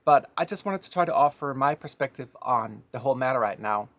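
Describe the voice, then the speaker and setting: A man's voice: mid-range voice, animated, fairly quick, a bit bright, dry recording. Danish-accented man, dry close recording. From Denmark. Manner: reflective, conversational, mildly opinionated. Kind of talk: personal monologue.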